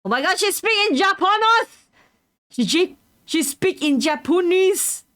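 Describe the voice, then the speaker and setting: A woman's voice: very high-pitched, lively intonation, unhurried, a bit bright, no echo, clean studio sound. A woman streamer reading a game's dialogue aloud, with playful, giggly asides over game music. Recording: game music playing behind.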